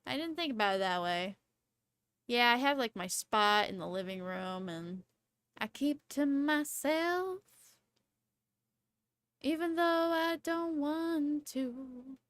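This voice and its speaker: A young woman in her twenties with a fairly high voice, chatting casually as a livestream host. She takes her time, drifting from thought to thought in a playful, slangy way with an excitable streak. The sound is close and dry. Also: takes it slowly, pauses often, dry recording. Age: 20s.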